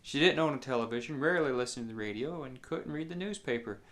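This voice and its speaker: A man with a rural southern Indiana accent telling a story in a folksy, colloquial way, pausing between phrases. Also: mid-range voice, fairly bright tone. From southeast Indiana, United States. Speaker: musician and storyteller.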